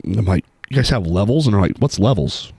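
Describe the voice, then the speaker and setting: Brief: man, low lively voice, rambling chatty co-host, dry close sound. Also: quick pace, creaky, slightly rough, dry recording. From Ohio, USA. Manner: rambling, filler-heavy storyteller. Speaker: podcast co-host. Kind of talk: casual chat.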